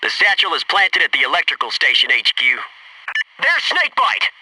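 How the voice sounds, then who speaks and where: An actor playing an alert, loyal Navy SEAL squad soldier in a military shooter game, giving clipped reports and dry remarks. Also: male voice, on the high side, wildly swooping pitch, moderate pace, bright, forceful tone, dry recording, recorded from a distance, exaggerated voice, poor audio. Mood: urgent alarm.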